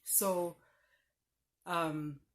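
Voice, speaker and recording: A woman with a strong Ugandan Lugbara accent speaks in a low, deep register. She talks in an earnest, reflective way, often pausing on fillers as she thinks aloud. Her tone is casual and personal, as if addressing friends. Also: steady tone. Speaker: vlogger. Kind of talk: casual personal talk to camera.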